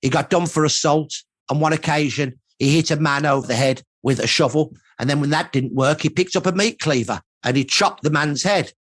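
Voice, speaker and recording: A man's voice: fairly high pitch, brisk, few pauses, fairly bright tone, no echo, clean studio sound. A middle-aged or older man from Birmingham, England, speaking as a historian in a documentary interview, in emphatic, punchy, repeated phrases.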